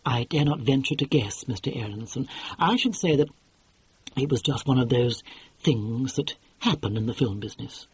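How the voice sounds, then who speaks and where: A man reading an audiobook and voicing a prim, formal male assistant who speaks with quiet precision. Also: medium pitch, normal speed, slight background noise, close to an everyday voice. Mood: polite, dry. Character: polite, prim, dry-witted male assistant. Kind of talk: audiobook character voice.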